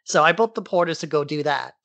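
A man's voice: a little high, fast, gravelly, fairly bright tone, no echo. Informal man recapping in a dry-sounding space. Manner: informal, irreverent. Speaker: podcast co-host. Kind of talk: casual plot recap.

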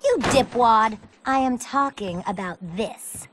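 A woman's voice: on the high side, takes it slowly, noisy background. A woman playing a spunky, friendly young skater girl in a video game, sassy and slangy. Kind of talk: video game cutscene acting.

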